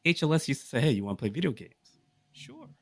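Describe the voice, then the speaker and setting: A man talks casually to his podcast group in a middle-pitched voice. He is enthusiastic and leans on rhetorical questions to sell each pick. The sound is very dry and close. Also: huge pitch swings, fast talker, gravelly, husky, dry recording. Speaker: podcast co-host. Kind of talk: casual chat, pitching a list of picks to the group.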